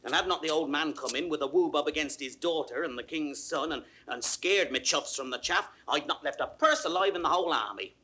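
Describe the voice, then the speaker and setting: A man's voice: fairly high pitch, fairly quick, hardly any pauses. An actor playing a boastful, gleeful pickpocket pedlar in a theatrical classical Shakespearean style, telling his tale with gloating relish. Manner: theatrical, gloating, animated storytelling. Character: boastful male roguish pedlar and pickpocket, gleeful con man. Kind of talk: classical Shakespearean stage acting, 1960s audio recording.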